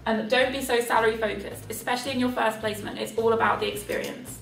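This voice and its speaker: A woman's voice: fairly high pitch, fairly even intonation, quick pace, creaky. A woman talking informally, giving tips and answers in the manner of a student. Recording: echoey room, distant mic, faint music.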